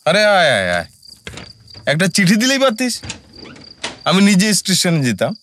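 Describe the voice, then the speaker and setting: A male actor playing a friend who knows of a ghostly death, speaking in a deliberate, weighty, dramatic audio-story style. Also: high voice, wildly swooping pitch, a little hiss or hum, full character voice. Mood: welcoming. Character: hospitable male friend turned suspicious, gravely revealing a ghostly death. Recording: studio voice under loud music.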